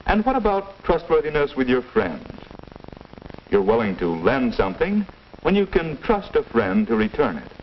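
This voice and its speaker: An American man acting a patient, kindly father who gives measured moral advice in a 1950s instructional film. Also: mid-range voice. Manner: measured, didactic, gently questioning. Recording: dull, hissy 1950s film soundtrack.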